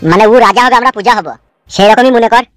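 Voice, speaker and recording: Man voicing a rapid-fire, pushy cartoon party henchman. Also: extremely high pitch, a bit bright, no room sound, soft background music. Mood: threatening. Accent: rural West Bengal. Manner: insistent, bullying, wheedling. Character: pushy, foul-mouthed male party henchman canvassing for votes. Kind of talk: fast comic cartoon dialogue.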